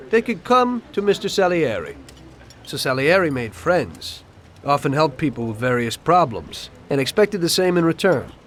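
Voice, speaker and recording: An actor playing a male mob driver who narrates the past in a calm, measured way in a video game. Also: fairly high pitch, slight background noise, close to an everyday voice. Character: young male getaway driver turned mob narrator, reflective.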